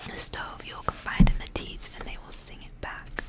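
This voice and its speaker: A woman voicing an anxious, guilty young impostor princess in a breathy, pleading whisper from a storybook. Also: normal speed, lightly acted. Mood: fearful, pleading.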